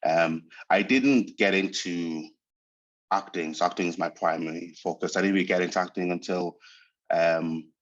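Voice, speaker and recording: A man reflects on his path as an actor, pausing often to search for words. He grew up speaking Portuguese first. The sound is dull and muffled. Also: deep voice, steady tone. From Angola. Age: 30s. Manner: thoughtful, hesitant, searching for words. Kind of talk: reflective interview monologue.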